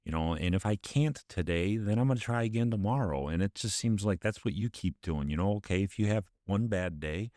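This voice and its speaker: A man hosting a casual interview, encouraging his guest in a halting way, restarting and repeating words. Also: lowish voice, expressive, mellow, no room sound, studio quality. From Michigan. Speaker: podcast host.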